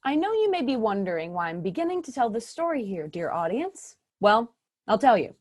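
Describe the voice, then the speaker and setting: A woman's voice: on the high side, sing-song, fairly quick. A woman playing a vain, sarcastic narrator who boasts and makes snarky asides in a community stage play. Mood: theatrical.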